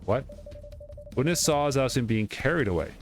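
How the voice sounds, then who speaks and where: A man's voice: mid-range voice, animated, moderate pace, faint music behind. A man reading video game dialogue aloud as he plays, stumbling now and then and correcting himself. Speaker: let's play streamer.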